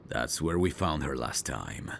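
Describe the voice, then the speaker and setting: Man playing a very deep, gravelly, cynical veteran detective. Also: lively intonation, fairly bright tone, a little hiss or hum, exaggerated voice. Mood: cynical, annoyed. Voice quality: gravelly, husky. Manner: world-weary, sardonic, unhurried drawl. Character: gruff, cynical veteran police detective, tough guy. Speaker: detective mentoring a rookie. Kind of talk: scripted roleplay audio drama.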